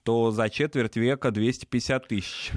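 A man co-hosting a political talk show, explaining at length in a measured way with some hesitations. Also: mid-range voice, fairly even intonation, no echo, clean studio sound. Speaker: co-host.

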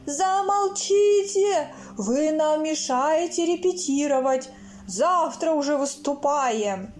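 Woman voicing a high, indignant, snobbish musical instrument. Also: expressive, slow pace, a little mellow, faint music behind. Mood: indignant, scolding.

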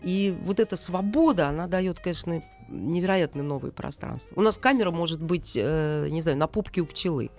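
Low-voiced woman explaining, in a radio interview. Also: expressive, moderate pace, few pauses, darkish tone, faint music behind. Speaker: interview guest, animation director. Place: radio studio.

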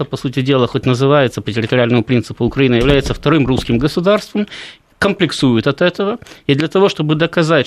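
A man's voice: medium pitch, dry recording. Guy on a talk show, lots of ums and restarts, dry close sound. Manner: hesitant, many fillers and restarts. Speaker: studio guest. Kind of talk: political talk show discussion. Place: studio.

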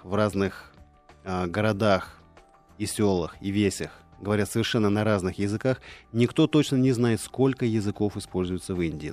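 A man's voice: fairly low pitch, darkish tone. A man speaking as an expert radio guest, explaining in a lecturing way with frequent fillers. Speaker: expert guest on languages of India.

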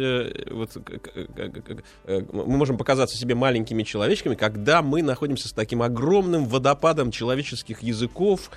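A man hosting a casual radio interview, chatty and rambling, with many fillers and restarts. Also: medium pitch. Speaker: radio host.

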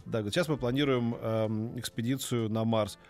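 A man's voice: mid-range voice, steady tone, fairly quick, darkish tone, soft background music. A man co-hosting a casual chat, stammering and restarting his sentences often. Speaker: co-host.

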